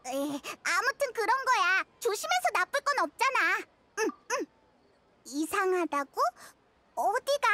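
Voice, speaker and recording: A woman voicing a tiny, excitable childlike fairy companion in a game, with chatty, exclamatory delivery. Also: extremely high pitch, a little slow, a little hiss or hum, heavily acted. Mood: defensive, surprised. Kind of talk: game character dialogue. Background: prominent music.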